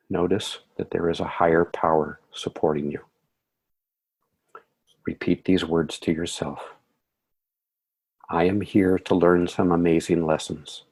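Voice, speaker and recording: A man leading a guided meditation in a soothing, measured way, with calm phrasing and gentle affirmations. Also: low-pitched, husky.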